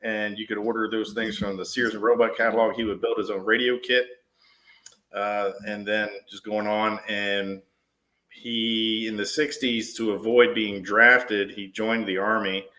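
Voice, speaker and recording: A man's voice: on the low side, little pitch movement, measured pace, bright, forceful tone, low-quality recording. A man in his forties, a dispatch supervisor, telling stories in a casual, rambling interview style. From US East Coast. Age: 40s. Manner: rambling with frequent restarts. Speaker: podcast guest, dispatch shift supervisor.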